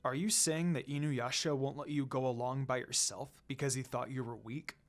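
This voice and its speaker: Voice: medium pitch, fairly even intonation, moderate pace, pitched below the performer's own voice, close to an everyday voice. A storyteller voicing a cold, proud male demon lord in a fan fiction reading, murmuring and stern. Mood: calm, reserved.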